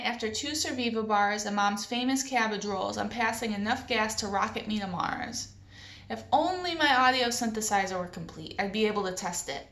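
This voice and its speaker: A woman reading a children's novel aloud in an expressive storytelling style. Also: mid-range voice, roomy sound.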